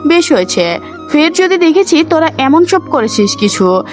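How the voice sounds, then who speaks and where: A woman's voice: sits high, sing-song, normal speed, faint music behind. A woman voicing a male college principal in an audio story, admonishing his students with a teasing, mock-stern manner.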